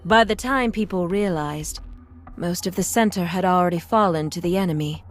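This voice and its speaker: An actress playing a calm, knowing, regal queen who commands in a video game, with poised, unhurried delivery. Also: fairly low pitch, lightly acted. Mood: calm explaining. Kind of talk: English video game dub acting. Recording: music behind the voice.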